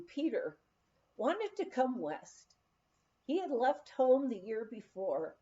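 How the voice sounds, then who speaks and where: A woman's voice: fairly high pitch, mellow. A woman reading a memoir aloud as scripted storytelling narration, occasionally stumbling over words, on a slightly muffled recording. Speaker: audiobook reader.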